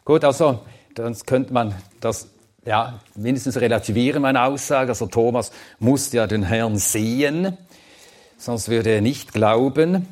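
A man's voice: mid-range voice, measured pace, a little mellow. A man whose first language is Swedish, teaching a Bible seminar and answering audience questions in a thoughtful, plain-spoken way with some hesitant fillers.

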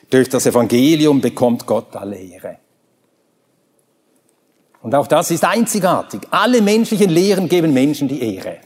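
Emphatic Swedish-native preacher, reverberant hall. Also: male voice, darkish tone, slightly rough. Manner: emphatic, rising to high ringing exclamations. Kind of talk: sermon with Bible reading. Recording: long reverb of a large hall.

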